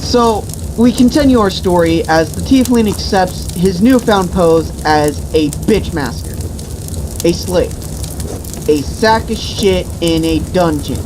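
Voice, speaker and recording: Slow, profane storytelling woman over sizzling noise. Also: lowish voice. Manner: profane, mock-epic, deliberate. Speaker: storyteller and host. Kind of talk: improvised comic fantasy storytelling among friends.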